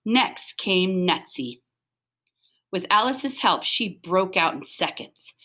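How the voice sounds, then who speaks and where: Expressive woman reading a children's storybook. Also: lowish voice, slow. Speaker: storybook reader. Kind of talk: expressive children's read-aloud narration.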